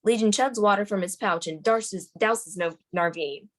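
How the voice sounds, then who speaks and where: A woman's voice: mid-range voice, normal speed. A woman reading screenplay stage directions as the narrator at a table read.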